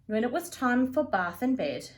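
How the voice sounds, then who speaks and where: A woman reading a children's picture book aloud as a storyteller, with an expressive, deliberate delivery. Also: on the high side. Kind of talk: read-aloud for young children.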